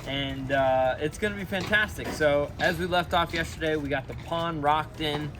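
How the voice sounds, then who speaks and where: A man hosting a casual building vlog, explaining his outdoor job site work step by step in a relaxed, upbeat way. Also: a little high, normal speed. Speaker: pond builder, show host.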